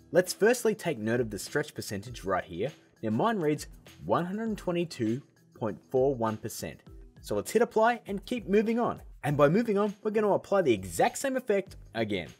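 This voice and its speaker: A man hosting a software tutorial, explaining steps in a casual, upbeat way. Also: on the high side, breathy edge. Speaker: video tutorial host.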